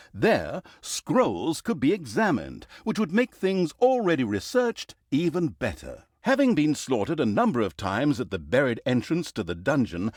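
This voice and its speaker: A man's voice: medium pitch, darkish tone, no echo, clean studio sound. A man playing a sardonic, theatrical storyteller who wryly narrates a fantasy video game. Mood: measured storytelling. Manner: theatrical, wry, sarcastic. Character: sardonic, theatrical male storyteller mocking heroes and scolding the player.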